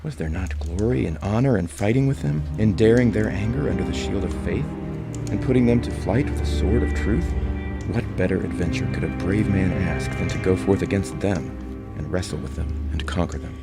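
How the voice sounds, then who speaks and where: Really deep gravelly guy reading a story, music playing behind him. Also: brisk. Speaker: narrator. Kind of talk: dramatic storybook narration.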